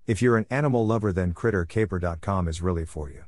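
A man's voice: low voice, quick pace, darkish tone, no room sound, studio quality. A man hosting a classic radio podcast, reading his introduction and a sponsor message in a friendly, earnest way. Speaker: podcast host.

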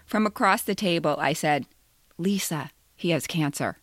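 Low-voiced woman reading a personal essay. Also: moderate pace, no room sound, clean studio sound. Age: 50s. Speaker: podcast co-host and essayist.

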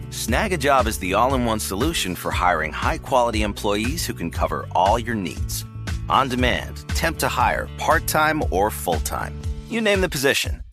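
A man announcing a commercial in a punchy, playful way. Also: lowish voice. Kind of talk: upbeat commercial.

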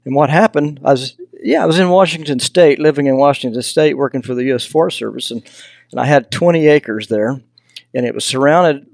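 He is an older man from Texas with a Southern accent. His voice is of average pitch, and he talks in a folksy, anecdotal way, like a guest casually telling stories. The sound is dry, with little room around it. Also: darkish tone, no echo. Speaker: podcast guest, hunting mentor and foundation founder. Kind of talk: casual interview storytelling.

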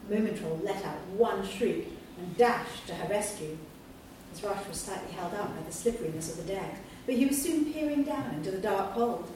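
Woman reading a kids' storybook aloud, steady, far from the mic in an echoey hall, faint rumble. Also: normal speed. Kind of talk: storybook reading at a live event. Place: large hall at a live event.

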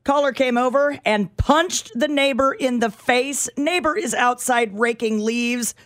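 A woman's voice: sits high, a little slow. A woman co-hosting a radio show who reads police scanner reports in a dry, matter-of-fact dispatcher style between bits of banter. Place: radio studio. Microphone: studio microphone.

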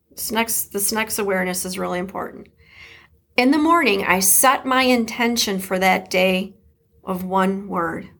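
Chatty Detroit-accented woman podcast host. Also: fairly high pitch. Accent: Detroit Midwest American. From Detroit, USA. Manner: warm, chatty, emphatic, with laughs and shout-outs. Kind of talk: casual solo podcast talk.

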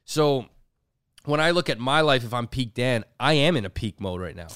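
A man in his thirties co-hosting a casual podcast, talking in an animated way and acting out quoted voices. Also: mid-range voice, moderate pace, clean tone, no room sound, studio quality. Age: 30s. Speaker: podcast co-host.

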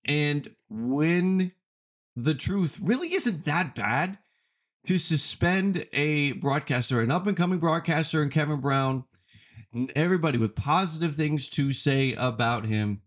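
A man's voice: on the high side, lively intonation. A man hosting a sports podcast, giving opinionated commentary in an emphatic way and repeating phrases for effect. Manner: emphatic, deliberate repetition for effect.

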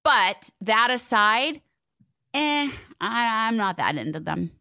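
Animated woman co-hosting a chatty show. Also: on the high side, slow pace, smooth. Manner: animated, laughs often. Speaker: podcast co-host. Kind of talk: casual chat.

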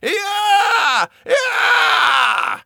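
A man's voice: medium pitch, lively intonation, moderate pace, vocal fry, bright, forceful tone, no room sound, studio quality. A man voicing a wild, terrified old man who raves in a rustic old-timer dialect, in a horror story reading. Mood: shrieking. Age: older. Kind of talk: audiobook character reading.

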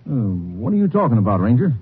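A man's voice: medium pitch, big pitch swings, quick pace, lightly acted. An actor playing a defensive man who insists he is innocent, in a 1950s radio drama. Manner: protesting, insistent. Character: defensive man accused of insurance fraud. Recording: muffled old radio broadcast with hiss.

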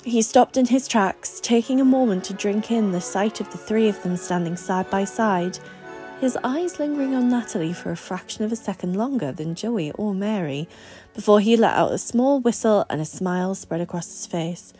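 A woman reading fiction aloud in an expressive audiobook narration style, with music behind her. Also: medium pitch, normal speed. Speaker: guest reader.

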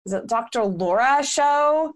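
A woman from Houston, hosting an interview in an emphatic, animated way. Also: high-pitched, measured pace. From Houston, Texas. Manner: emphatic, animated, talks over guest. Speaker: podcast host. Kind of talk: casual interview chat.

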